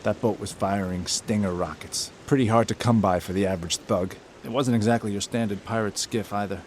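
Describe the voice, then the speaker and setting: A man's voice: on the low side, steady tone, fairly quick, a little hiss or hum, close to an everyday voice. An actor playing a weary, pessimistic sub crewman with grim, dry sarcasm, in audio drama style. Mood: grim, worried. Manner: sardonic, grim.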